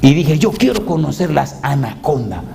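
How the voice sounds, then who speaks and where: A man's voice: a little high, sing-song, moderate pace, gravelly, full character voice. A male pastor quoting a chatty young foreign woman traveler in his sermon, talking in his own voice. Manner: talkative, matter-of-fact. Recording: noisy live recording with audience.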